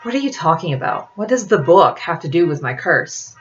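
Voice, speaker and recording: A woman voicing a brooding, puzzled cursed huntsman in a novel reading, with a dark, questioning manner. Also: fairly low pitch, big pitch swings, fairly quick, lo-fi sound, a little hiss or hum.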